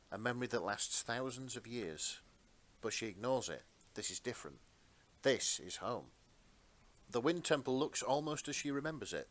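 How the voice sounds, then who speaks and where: A man narrating fan fiction in a dramatic storybook style with theatrical, deliberate phrasing. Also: mid-range voice, fairly bright tone.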